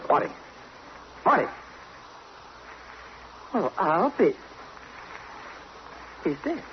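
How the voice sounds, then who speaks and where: A man's voice: high voice, big pitch swings, extremely slow, exaggerated voice. An actor playing a wry, wary, wisecracking man in an old-time radio crime drama, dry and sardonic in delivery. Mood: alarmed. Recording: noisy muffled old radio drama recording.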